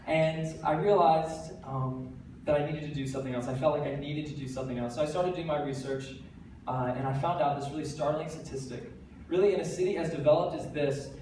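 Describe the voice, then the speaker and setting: A teenage man with an American accent, giving an earnest, energetic stage talk with light self-deprecating jokes. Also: a little high. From United States. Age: teens. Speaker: TEDx speaker. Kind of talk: stage talk to a live audience. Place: large hall. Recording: very echoey hall, voice from a distance, faint background noise.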